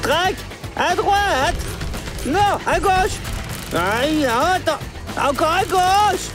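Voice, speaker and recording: A woman's voice: very high voice, animated, very slow, over music, full character voice. A woman voicing a chirpy flying cartoon machine. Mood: directing. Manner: calls out directions. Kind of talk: dubbed cartoon acting.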